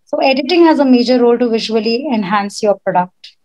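A woman with a husky voice, a guest speaker giving confident, chatty advice on a video call. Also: fairly high pitch, lively intonation, fast, darkish tone. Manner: confident, chatty, says "like" often. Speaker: guest speaker, podcast producer. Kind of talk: casual advice talk. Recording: dull lo-fi video call audio.

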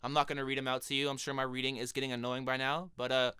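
A man's voice: very fast, smooth, no room sound. A young man with a cold, talking casually to his viewers while reviewing a microphone in a small home studio, speaking into a studio condenser mic. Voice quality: higher-pitched voice. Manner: relaxed, chatty, addresses viewers directly. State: has a cold. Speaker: music producer reviewing a microphone. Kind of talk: casual product review vlog. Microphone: studio condenser mic on stand in front of him.

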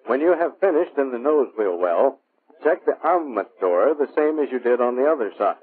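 A tinny, narrow-band vintage military film soundtrack carries an American man's narration. His voice is rough-edged and sits at a middle pitch. He delivers scripted instructions in a clipped, measured way, like a formal training film narrator. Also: expressive.

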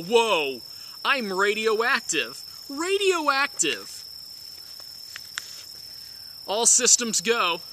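Guy reading super slow and dramatic outside, high bright voice, crickets faint in back. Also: a little hiss or hum. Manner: slow, grandly theatrical, overly emphatic. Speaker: dramatic reader. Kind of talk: mock-dramatic reading of song lyrics. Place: outdoors by green woods.

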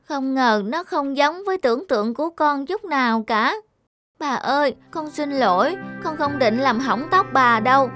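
Woman as a high-voiced eager little boy. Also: lively intonation, normal speed, a bit bright, faint music behind. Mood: remorseful, earnest. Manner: childlike, pleading. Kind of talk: cartoon voice acting.

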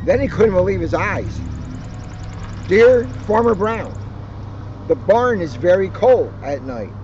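A man's voice: sits high, lively intonation, slow pace, a little mellow, soft background music. An older male police officer reading a children's story aloud outdoors, expressively and dramatically, with joking asides. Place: outdoors on an open grassy lawn. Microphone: camera a short distance away. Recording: muffled outdoor recording with background noise.

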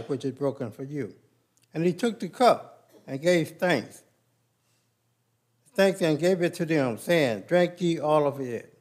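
Man, slightly high voice, leads communion and prayer at a pulpit mic in a big sanctuary, measured and reverent. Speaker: church leader at the Lord's Supper. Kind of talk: plain church service leading and prayer. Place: large church sanctuary.

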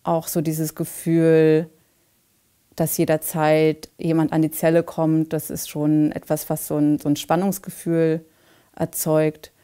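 A woman in her forties speaking in a recorded interview, measured and halting, with frequent fillers. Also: low voice, completely flat delivery, mellow, clean tone. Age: 40s. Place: dim indoor set.

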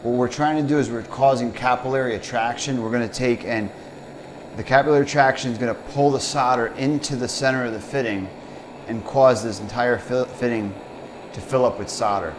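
A man's voice: mid-range voice, fairly even intonation, a little fast, noisy background. A man in his thirties, a plumber, casually talking through a hands-on demonstration at a workbench, plain and step by step with fillers. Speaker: plumbing instructor. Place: indoor workshop bench.

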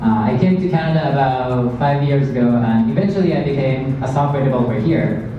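A young man from Japan giving a startup pitch on stage into a handheld mic. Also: brisk, background noise. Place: event hall with audience. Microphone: handheld mic, filmed from the crowd. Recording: distant, roomy, dull audience recording.

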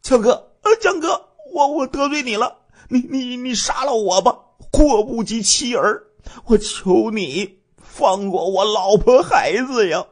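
A man's voice: very high-pitched, expressive, unhurried, pitched above the performer's own voice, exaggerated voice. A man voicing a terrified middle-aged man who stammers and begs for his family's lives. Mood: pleading, terrified. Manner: stammering, pleading.

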